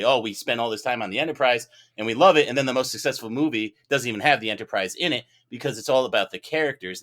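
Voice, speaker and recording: A man's voice: on the low side, sharp tone. A talkative, enthusiastic man in a casual, playful film debate as a podcast judge and juror.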